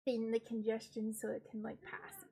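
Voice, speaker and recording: Congested young woman streamer, higher voice. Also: lively intonation, moderate pace, mellow, breathy edge. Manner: chatty, self-deprecating, giggly asides. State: congested, stuffed-up voice. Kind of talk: casual chat.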